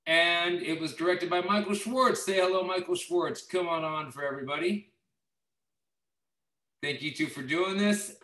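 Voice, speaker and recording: A man hosting an online play reading and briskly introducing the next play. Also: fairly high pitch, sharp tone, roomy sound, recorded from a distance. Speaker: director and show host. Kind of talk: introducing plays. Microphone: video call webcam.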